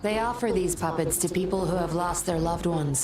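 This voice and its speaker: A woman voicing a composed, secretive undercover investigator in a video game, speaking in a measured, guarded way. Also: lowish voice, natural voice. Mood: explaining. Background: game music.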